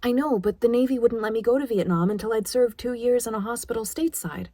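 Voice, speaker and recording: A woman voicing an eager young woman, slightly husky. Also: on the high side, fast, dark tone, no room sound, clean studio sound, voice pushed up, natural voice. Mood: defensive. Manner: earnest, insistent. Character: eager, defiant young woman, idealistic new army nurse.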